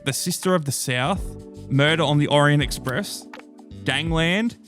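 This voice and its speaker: A man's voice: fairly high pitch, unhurried, fairly bright tone, soft background music. A man hosts a podcast in casual, informal banter. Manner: informal, sweary. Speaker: podcast host.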